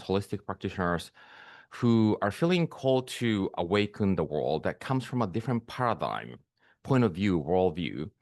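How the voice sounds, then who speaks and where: A man from Peru, a spiritual business coach talking slowly and reflectively to camera, pausing often with fillers. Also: low-pitched, no room sound, clean studio sound. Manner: slow, reflective, with frequent pauses and fillers. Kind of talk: solo talk to camera.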